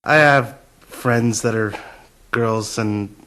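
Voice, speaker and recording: A Canadian man in his thirties, a reality show contestant, talking haltingly with chuckles in a confessional interview. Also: lowish voice, sharp tone, poor audio. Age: 30s. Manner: chuckling, halting, self-deprecating.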